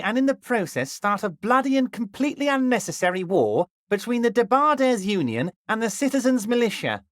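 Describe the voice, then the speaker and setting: A smooth, ingratiating middle-aged union boss in a video game, slyly patronizing and always drawing out his words. His voice sits fairly high for a man and roams up and down expressively. It is recorded dry and close, with no room sound. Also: a bit bright, no room sound. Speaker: dock union leader character.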